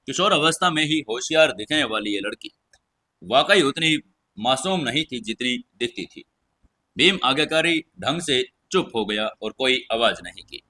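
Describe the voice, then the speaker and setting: Bright, forceful male story narrator. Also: mid-range voice. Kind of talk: scripted dramatic narration.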